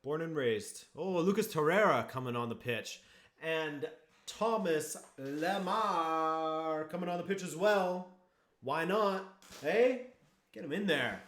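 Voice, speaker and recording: A man's voice: a little high, lively intonation, slow, fairly bright tone. A man hosting a live football watchalong stream, chatting casually with viewers and reacting to the match. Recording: echoey room.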